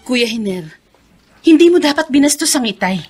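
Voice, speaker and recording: An indignant Filipina younger sister in a radio drama, with a very high-pitched voice. She pleads and reproves in the same breath. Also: lively intonation, moderate pace, darkish tone, husky, soft background music. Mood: hurt, indignant. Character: indignant younger sister defending her father.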